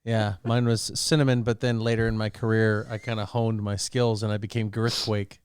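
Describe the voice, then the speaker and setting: A man's voice: fairly low pitch, level tone, normal speed, slight background noise. A man co-hosting a casual chat, bantering with a wry, joking manner. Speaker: podcast co-host.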